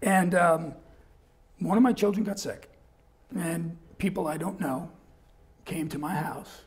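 An older man with a New York accent, a guest telling stories in a casual interview, animated and laughing often, acting out quoted voices. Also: on the high side, measured pace. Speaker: guest, guitar company rep. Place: small studio set with backdrop.

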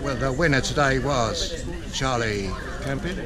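A man's voice: mid-range voice, a little slow. A man in his sixties hosting a sailing club vlog, chatting casually and interviewing racers into a handheld mic, rambling and jokey. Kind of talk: casual chat. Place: outdoors under a tent at a busy sailing club. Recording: outdoor recording with loud crowd. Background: loud crowd chatter.

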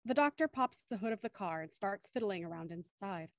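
A woman narrates an audio drama from a script. Her voice sits at a medium pitch and is recorded dry, with no room sound, as she sets out scenes and actions. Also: lively intonation, fairly quick, dry recording. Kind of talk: scripted narration of stage directions.

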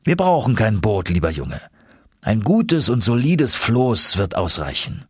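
Man voicing a husky, emphatic professor uncle. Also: lowish voice, sing-song, measured pace, no echo, clean studio sound, exaggerated voice. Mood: assured. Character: confident, eager older professor uncle, explorer.

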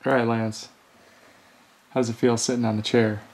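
A man's voice: mid-range voice, flat delivery, moderate pace, a little mellow, rough recording. A man chatting casually as a paranormal investigator inside an abandoned house. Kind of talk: casual chat during a ghost hunt.

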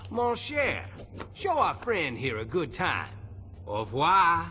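Swaggering Cajun trickster, wildly swooping. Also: male voice, a little high, huge pitch swings, slow pace, heavy background noise, full character voice. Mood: cocky, teasing. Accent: Louisiana Cajun. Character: cocky, taunting Cajun male escaped prisoner and trickster. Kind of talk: video game acting. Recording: loud music over the voice.

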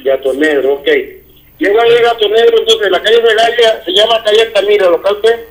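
High-voiced Venezuelan man on the phone. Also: a little fast. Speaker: air-conditioning repairman. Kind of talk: casual phone conversation. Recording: heard over a phone line.

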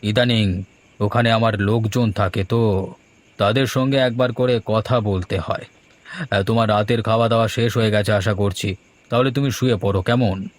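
A man playing a kindly, secretive ghost uncle with a gentle, measured manner in a horror cartoon. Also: lowish voice, fairly even intonation, slight background noise, lightly acted. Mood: calm, evasive.

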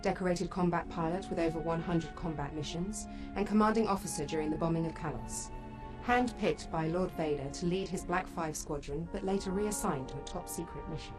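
Low-pitched poised young military pilot woman acting. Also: fairly quick, over music, natural voice. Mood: formal. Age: young adult. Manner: crisp, formal, composed. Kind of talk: video game cutscene acting.

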